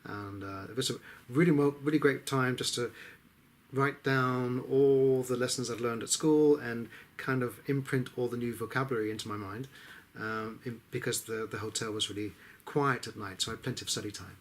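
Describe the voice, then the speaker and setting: A middle-aged man from the of England, a business coach, telling his life story in a reflective way with frequent pauses and hesitations. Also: medium pitch, fairly even intonation. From England, Exeter. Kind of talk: personal life story told to camera.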